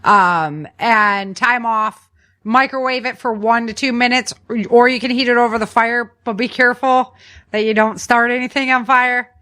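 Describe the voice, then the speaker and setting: A woman with a bright, forward voice talks as a podcast co-host. She explains practical tips in an informal, chatty way, like casual conversation between friends. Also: on the high side, fairly even intonation, normal speed.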